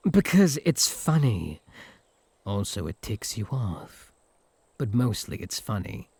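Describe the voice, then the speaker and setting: A man's voice: on the low side, big pitch swings, slow, mellow, husky, no room sound, heavily acted. A man with a British accent playing a smug, teasing, possessive incubus in a comic audio roleplay. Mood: mocking, amused. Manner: mocking, flirtatious banter.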